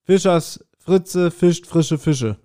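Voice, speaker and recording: A man's voice: a little high, very slow, mellow. A man co-hosting a podcast in casual chat, with dry, self-deprecating banter. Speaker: podcast co-host.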